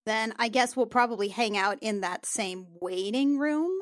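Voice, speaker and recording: A woman's voice: fairly high pitch, moderate pace, no echo, clean studio sound. A woman playing a tabletop game, talking through her character's plans with lots of hesitation. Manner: hesitant, many fillers while planning. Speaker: player.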